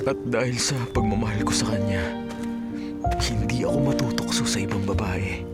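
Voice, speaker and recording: A man's voice: mid-range voice, natural voice. A man playing a devoted, worried young son in a Filipino radio drama, with an earnest, dramatic delivery. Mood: reflective, determined.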